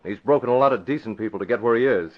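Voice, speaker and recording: An actor playing a firm, crusading newspaper publisher in a curt, authoritative old-time radio drama style. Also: male voice, medium pitch, very fast, natural voice. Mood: indignant, resolute. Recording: dull vintage radio broadcast.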